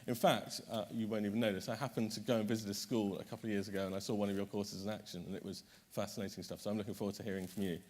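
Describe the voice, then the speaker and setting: A man's voice: lowish voice, fairly even intonation, very fast, hardly any pauses, a little mellow. A man chairing a conference panel, introducing the speakers in a chatty way, correcting himself often and making light jokes.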